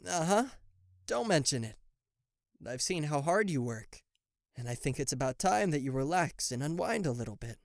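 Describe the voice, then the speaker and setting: A man playing a cocky, playful and easily flustered king of hell as an affectionate boyfriend in an ASMR roleplay, with theatrical teasing pauses and stammers. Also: on the high side, big pitch swings, gravelly, dry recording, studio quality. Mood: gentle, attentive. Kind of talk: ASMR roleplay addressed to the listener.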